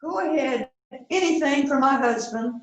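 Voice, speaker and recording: A woman's voice: high-pitched, moderate pace, natural voice. A woman playing a polite, prim and coolly unrepentant murderous hostess in an amateur mystery reading. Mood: innocent. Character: polite, coolly unrepentant wife hosting a dinner party, a murderer. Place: indoors on a virtual event call. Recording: very echoey, dull video call audio.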